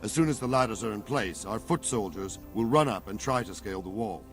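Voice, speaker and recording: An actor playing a blunt, gruff middle-aged veteran soldier commander in a video game cutscene, speaking in plain earthy soldier's talk. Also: male voice, fairly low pitch, animated, brisk, soft background music. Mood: briefing.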